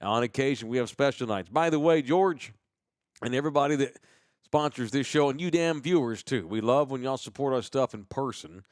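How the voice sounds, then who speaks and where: An American man hosting a podcast with casual banter and sponsor shout-outs, talking in a slangy, rambling, profane way. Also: mid-range voice, normal speed, no room sound, studio quality. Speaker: host of a live podcast.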